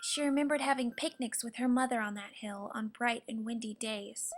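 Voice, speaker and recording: A woman narrating a children's storybook with expressive, deliberate bedtime-story phrasing. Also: a little high. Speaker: storybook reader. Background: soft music.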